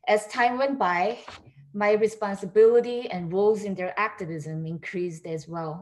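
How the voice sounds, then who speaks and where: A woman who is a native Korean speaker, reading a prepared research talk at a steady, measured pace. Her voice sits at a middle pitch for a woman and comes through a simple video-call microphone from a room at home. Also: poor audio. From South Korea. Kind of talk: read academic presentation.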